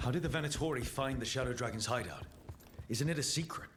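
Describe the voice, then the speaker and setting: An actor playing a grim, steady male warden warrior in a video game. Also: mid-range voice, fast, noisy background, close to an everyday voice. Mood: resolute. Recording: loud game music behind.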